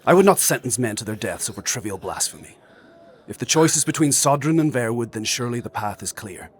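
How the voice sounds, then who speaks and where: Ancient husky-voiced imperious spirit. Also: male voice, medium pitch, animated, fairly quick. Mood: righteous, menacing. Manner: formal, commanding, condescending. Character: ancient, imperious male spirit mentor, stern and vengeful. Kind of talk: dark fantasy audio drama acting.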